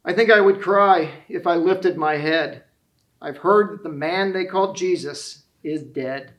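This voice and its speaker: A man's voice: sits high, a little slow, fairly bright tone, muffled recording. A man reading as a sorrowful, kindly old grandfather who tells his tale tenderly in rhyme. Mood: mournful. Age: older.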